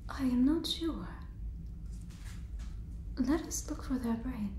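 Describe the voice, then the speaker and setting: Slow whispering woman as a stilted alien. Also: sits high, echoey room. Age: young adult. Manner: stilted, deliberate, deadpan phrasing. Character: awkward, inhuman female alien examiner, earnest and oddly polite. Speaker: ASMR performer. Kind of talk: whispered ASMR sitcom roleplay.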